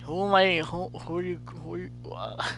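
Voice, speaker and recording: A man's voice: high-pitched, lively intonation, moderate pace, gravelly, fairly bright tone, faint music behind. A man live streaming a game, reacting casually and off the cuff with repeated questions.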